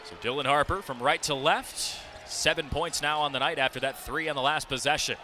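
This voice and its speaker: A man's voice: a little high, fairly quick. A young man calling live basketball play-by-play for radio, describing the action vividly as his voice jumps with the plays. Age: young adult. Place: courtside broadcast in a basketball arena. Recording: loud arena noise, bouncing ball behind. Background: basketball game ambience, ball bounces.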